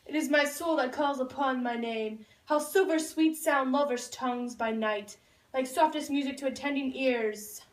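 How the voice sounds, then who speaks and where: A woman's voice: high voice, normal speed, natural voice. A woman playing an ardent, lovesick young nobleman in a puppet show, speaking romantic Shakespearean verse theatrically. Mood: adoring. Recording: roomy echo, distant microphone.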